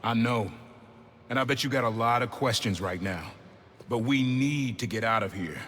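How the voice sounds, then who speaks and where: An actor playing a gruff, protective middle-aged uncle with a terse, streetwise way of talking, in a dramatic video game scene. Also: male voice, mid-range voice, normal speed, fairly bright tone, lightly acted. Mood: serious, guarded. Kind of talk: dramatic game cutscene acting. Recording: reverberant space, faint noise.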